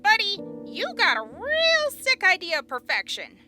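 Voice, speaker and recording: A woman acts a feisty teenage leader in an audio drama. Her high, sharp voice is commanding and lively, ringing with some hall reverb. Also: normal speed, soft background music, full character voice. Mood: defiant.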